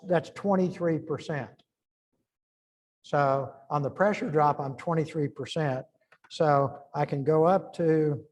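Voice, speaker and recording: A man's voice: mid-range voice, darkish tone. A middle-aged man giving an informal engineering lecture, thinking aloud and restarting phrases as he works through numbers.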